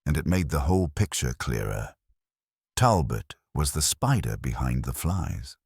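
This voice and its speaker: A man's voice: extremely low pitch, big pitch swings, measured pace, creaky, darkish tone, slightly rough, dry recording, clean studio sound. A man narrating a scripted first-person detective story in a wry, hard-boiled way.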